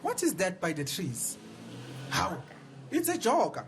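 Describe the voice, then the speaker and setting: A man's voice: high-pitched, huge pitch swings, unhurried, slight background noise. A South African man giving playful scripted narration as a news reporter.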